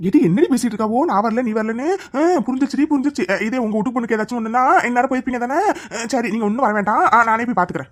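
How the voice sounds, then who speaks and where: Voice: medium pitch, lightly acted. A performer voicing a brave, talkative middle-aged village woman. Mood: chatty. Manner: chatty, scolding, quick.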